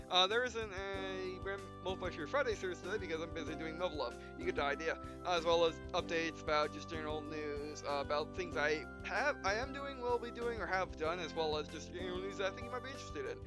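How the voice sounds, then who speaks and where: Man, high voice, rambling let's play talk, restarts his sentences, game music behind. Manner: rambling, restarts phrases often. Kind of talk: casual game commentary.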